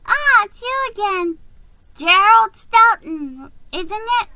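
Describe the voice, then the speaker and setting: A woman voicing a male mouse nurse in a storybook, exclaiming in a drawn-out way. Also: very high-pitched, big pitch swings, sharp tone, voice pushed up, exaggerated voice. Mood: surprised. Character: male mouse nurse, brusquely recognising a patient.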